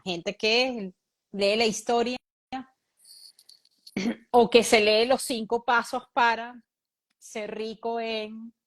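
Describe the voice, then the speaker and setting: A woman host in a casual conversation, her voice a little high. She speaks in a thoughtful, measured way as she raises a topic.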